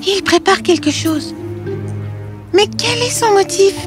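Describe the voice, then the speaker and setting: A young ninja girl from an animated fairy tale , played by a woman. Her extremely high voice is curious and determined, with music playing clearly behind it. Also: takes it slowly. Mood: determined, puzzled.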